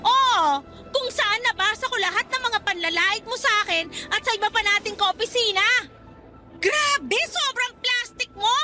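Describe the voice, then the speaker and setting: A woman playing a furious, scornful young office worker in a radio drama, loudly accusing someone. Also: very high-pitched, animated, moderate pace, music in the background. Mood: angry, scornful. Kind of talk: Tagalog radio drama acting.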